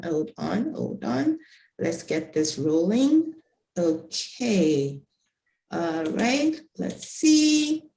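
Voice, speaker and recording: A woman on a video call, chatty and bubbly, directing everyone through group photos. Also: mid-range voice. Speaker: webinar co-organizer taking group photos. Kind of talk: casual video-call chat. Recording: roomy video-call audio.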